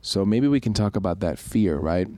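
Low-voiced man explaining on a podcast. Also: animated, moderate pace, dark tone, no room sound. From Switzerland. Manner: explanatory, loose and reflective, with frequent restarts and fillers. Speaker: podcast co-host. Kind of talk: casual podcast conversation.

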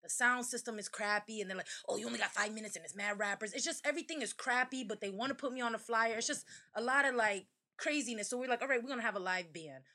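A young woman from the Hartford area with a big, loud voice, chatting as a guest on a podcast. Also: on the high side, fast talker, few pauses. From Hartford, Connecticut area. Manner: loud, laughs often, big voice. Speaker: podcast guest, event organizer. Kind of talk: casual podcast chat.

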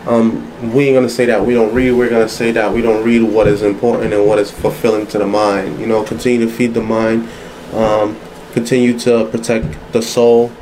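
Man, podcast host, steady flat delivery, even pitch, faint noise. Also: brisk, rough recording. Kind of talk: casual podcast talk.

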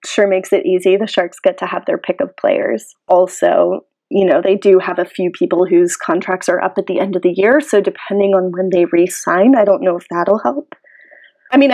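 A woman's voice: lowish voice, fairly even intonation, normal speed, darkish tone. A woman co-hosting a podcast in casual sports chat, with a dry, matter-of-fact manner. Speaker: podcast co-host.

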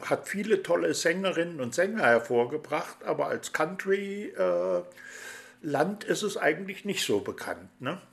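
A male host leads a relaxed interview, his questions wandering and filled with hesitation sounds. His voice sits a bit high for a man. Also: normal speed, creaky. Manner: friendly, rambling questions with many fillers. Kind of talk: chatty radio-style interview.

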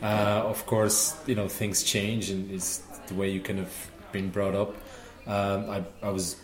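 A man, telling stories in a relaxed way with lots of "you know"s. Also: fairly low pitch, measured pace, roomy sound. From Portugal. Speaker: dance teacher and festival organizer. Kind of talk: casual interview answers.

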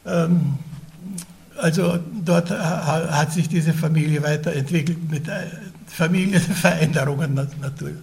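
Old guy in his 90s, russian first language, grew up in vienna, high raspy voice, keeps clearing his throat and stumbling. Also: fairly even intonation, unhurried. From Vienna, Austria. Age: older. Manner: hesitant, many fillers and restarts. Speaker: interviewee recounting his life. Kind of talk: oral history recollection.

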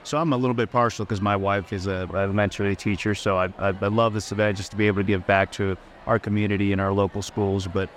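A man's voice: fairly low pitch, fast talker, hardly any pauses, a little hiss or hum. A man from El Salvador, a community banker, talking modestly with fillers as a guest at a live outdoor event. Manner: modest, relaxed, with fillers and restarts. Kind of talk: casual interview at a live event.

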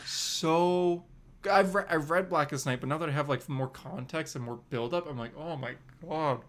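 A man's voice: a little high, normal speed, fairly bright tone. A man co-hosting a podcast in casual chat, with an enthusiastic, rambling way of talking. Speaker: comic book podcast co-host.

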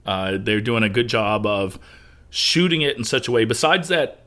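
A man's voice: lowish voice, expressive, moderate pace, bright, forceful tone. A man co-hosting a podcast, chatty and analytical, joking while he discusses a movie. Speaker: podcast co-host.

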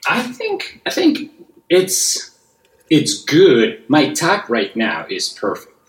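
A man's voice: a little high, wildly swooping pitch, takes it slowly, echoey room. A man with a Russian accent, speaking English as a second language, chatting casually as a guest actor in an interview, upbeat and sometimes searching for words. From West Siberia, Russia.

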